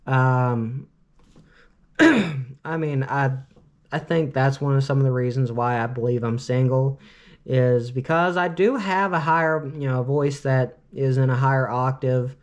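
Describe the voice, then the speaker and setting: A man from Cleveland in his late twenties talks straight to his vlog audience in an earnest, opinionated way. He rambles, restarts, and leans on filler words constantly, with a voice pitched at an average height for a man. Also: a little slow. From Cleveland, Ohio, US. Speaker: vlogger. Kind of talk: casual storytime monologue.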